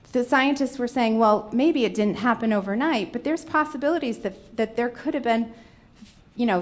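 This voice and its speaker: A middle-aged woman from Rhode Island, speaking with a Rhode Island accent, gives a conference presentation and explains her slides one by one. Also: a little high. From Rhode Island, USA. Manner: explanatory, walking through slides. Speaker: conference panelist.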